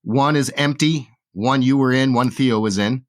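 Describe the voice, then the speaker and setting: A man narrating a tabletop game as game master, relaxed and full of fillers. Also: medium pitch, moderate pace.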